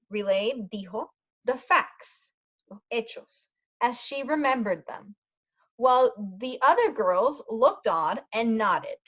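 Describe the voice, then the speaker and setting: A woman reading a story aloud as a teacher, carefully pausing to explain words for language learners. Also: fairly high pitch. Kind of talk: read-aloud storytelling with brief translations. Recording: dull lo-fi sound.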